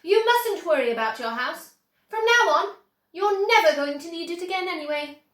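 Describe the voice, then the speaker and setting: A woman's voice: extremely high pitch, expressive, moderate pace, a bit bright, voice pushed up. A woman voicing a flamboyant, unflappable male eccentric from a storybook, grandly polite and sure of himself. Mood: courteous, cheerful. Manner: confident, grandly polite, exclamatory. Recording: roomy, echoing indoor sound.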